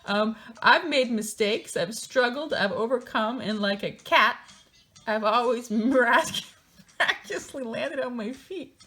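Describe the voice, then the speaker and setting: A woman's voice: fairly high pitch, a little slow, fairly bright tone. A woman reading a book aloud in a theatrical, mocking way, breaking into casual, sardonic asides of her own.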